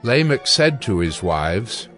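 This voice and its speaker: A man giving a measured, solemn scripted narration of scripture as the narrator. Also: on the low side, sing-song, fairly bright tone, faint music behind.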